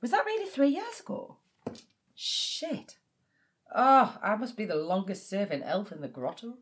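A woman's voice: mid-range voice, wildly swooping pitch, measured pace. An actress playing a cynical, grieving woman, in a naturalistic audio drama. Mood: wry. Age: 30s. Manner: sardonic, exasperated. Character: cynical, grieving 37-year-old woman working as an elf.

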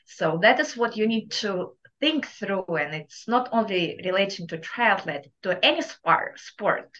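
A woman with a thick Russian accent speaks slowly and deliberately, her words measured and earnest. She gives motivational advice as an interview guest. The audio is slightly muffled and lo-fi. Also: mid-range voice, animated, fairly bright tone. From Kazakhstan. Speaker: guest entrepreneur.

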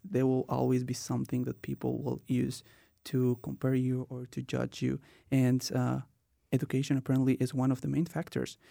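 A man's voice: mid-range voice, gravelly, warm tone, dry recording, clean studio sound. A man in his twenties from Colombia, hosting a student podcast and telling his own story with many "uh" and "um" fillers. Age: 20s. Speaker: podcast host. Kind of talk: casual podcast talk.

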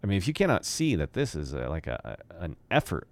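A man's voice: deep voice, fast talker, creaky. A man co-hosting a political commentary show, talking emphatically. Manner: emphatic, lively. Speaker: co-host and editor.

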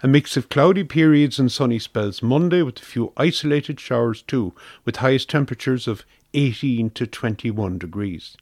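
An Irish man reads a weather forecast from a script for community radio. His voice sits at an average male pitch, recorded dry with hardly any room around it. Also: moderate pace, few pauses, a little mellow, no room sound.

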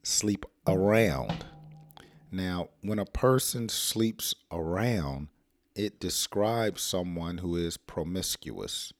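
A middle-aged American man with a hoarse, rough throat, teaching an English lesson in a casual, playful way and acting out example sentences. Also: sits low, slow pace.

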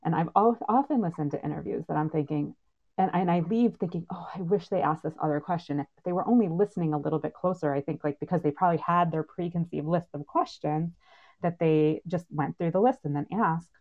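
A woman's voice: sits low, fairly quick. A woman moderating a panel casually, with an informal, affirming way of talking. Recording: thin phone-like line.

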